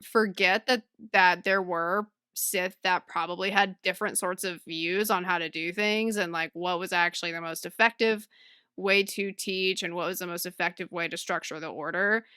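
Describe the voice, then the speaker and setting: Bright, lowish woman in casual discussion. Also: steady tone, normal speed, smooth, no room sound, studio quality. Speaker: podcast co-host. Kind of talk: casual book club chat.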